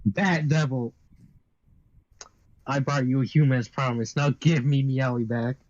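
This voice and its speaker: A man's voice: mid-range voice, fairly even intonation, measured pace, no room sound, muffled recording, lightly acted. A man voicing a brash, bloodthirsty devil girl who dotes on cats, theatrical and gloating, in an anime fan dub. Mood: pleading.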